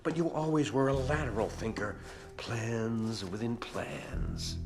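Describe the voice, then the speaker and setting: Low-voiced, taunting keeper of secrets. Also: male voice, expressive, slow pace, music in the background. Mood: bitter, mocking. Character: smug, knowing male insider holding dark secrets. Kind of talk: dramatic game cutscene acting.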